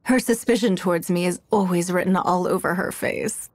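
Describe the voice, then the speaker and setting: A woman voicing a poised, calculating, wealthy noblewoman in a fantasy video game, speaking in a measured, formal and haughty manner. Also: fairly low pitch, darkish tone, dry recording, natural voice. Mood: cool, calculating. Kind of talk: video game character voice lines.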